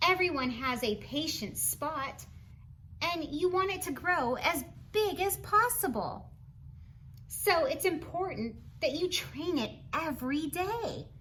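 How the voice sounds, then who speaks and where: Woman, high-pitched, bright tone, children's read-aloud, expressive, encouraging, teacherly asides, faint background noise. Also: slow. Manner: teacherly, encouraging, expressive, asks the listener questions. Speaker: storybook reader for children.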